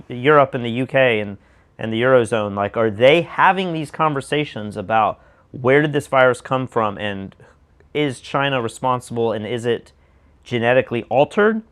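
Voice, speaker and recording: An American man with a mid-pitched, slightly raspy voice co-hosts a talk show. He argues emphatically in a casual, conversational way. He often pushes his points along with rhetorical questions. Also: fairly bright tone. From United States. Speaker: show co-host. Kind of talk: casual opinionated discussion.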